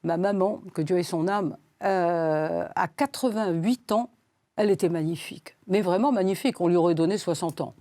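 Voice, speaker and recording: A woman's voice: fairly low pitch, normal speed, mellow, dry recording. A woman in her eighties, a guest in a studio interview, talking in a rambling way with many hesitations and repeated words. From Switzerland. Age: 80s. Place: studio set.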